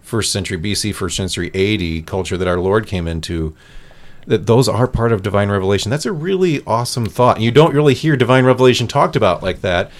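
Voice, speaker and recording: Earnest priest explaining at length. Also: male voice, lowish voice, lively intonation, fast talker. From central Iowa. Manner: earnest, explanatory, with frequent restarts. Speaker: priest guest. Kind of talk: studio podcast conversation. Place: radio studio.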